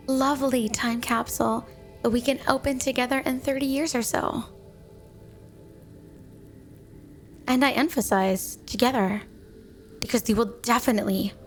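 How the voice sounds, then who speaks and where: A woman's voice: a little high, close to an everyday voice. A woman playing an obsessively devoted snow woman wife in a flirty ASMR roleplay, gushing and cooing with a sweet but possessive manner. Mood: adoring. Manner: gushing, cooing, doting, dreamy.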